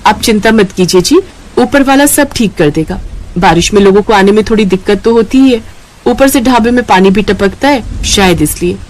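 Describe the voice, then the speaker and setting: A woman's voice: mid-range voice, moderate pace, darkish tone, natural voice, poor audio. A woman voicing a soothing, supportive village wife in a cartoon story. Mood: reassuring.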